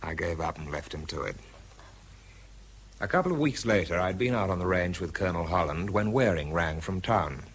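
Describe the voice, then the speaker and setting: An actor playing a weary, wry wartime weapons researcher with a British accent, narrating and talking in a dry, understated way. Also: male voice, sits low, expressive, normal speed, slight background noise. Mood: weary, matter-of-fact. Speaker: lead actor and first-person narrator. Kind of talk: radio drama narration and dialogue.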